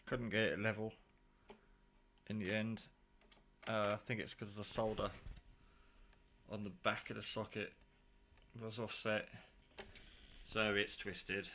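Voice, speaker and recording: A man with a fairly low voice talks through his hobby gear in a casual, offhand way. He half mutters to himself as he works with his hands. The handheld camera recording has faint noise and small handling clicks behind him. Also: little pitch movement, takes it slowly, pauses often, bright, forceful tone. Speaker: hobbyist demonstrating his CB radio kit. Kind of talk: casual hands-on show-and-tell.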